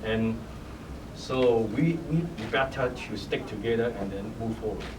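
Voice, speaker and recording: Hong Kong man answering on a panel. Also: mid-range voice. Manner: halting, many restarts and "you know". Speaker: startup founder panelist. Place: event hall. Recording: echoey hall, distant mic, noisy.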